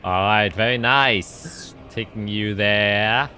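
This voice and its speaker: A man's voice: lowish voice, slow pace, bright, forceful tone, slight background noise. A man narrating a tabletop roleplaying game as game master, describing scenes and calling out dice results.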